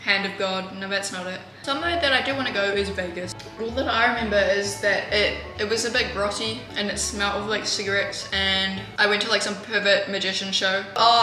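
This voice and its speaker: A woman vlogging in a home kitchen, chatting in a rambling way with sarcastic, deadpan asides, recorded on a camera mic. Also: mid-range voice, a little fast, few pauses. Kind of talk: casual vlog chat. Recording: roomy with music bed.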